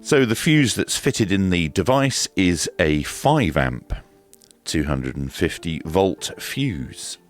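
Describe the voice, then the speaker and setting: Very deep-voiced British man, casual reviewer. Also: very animated, takes it slowly, soft background music. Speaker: reviewer presenting a product video. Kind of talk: casual unboxing and demonstration commentary.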